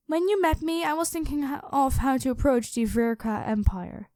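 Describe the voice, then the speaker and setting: Mellow, high-voiced regal dragon queen played by a woman. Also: lively intonation, moderate pace, no echo. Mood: explanatory, reproachful. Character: imperious, calmly threatening dragon queen in human form. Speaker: voice actor in an audio roleplay. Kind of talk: ASMR roleplay monologue addressed to the listener.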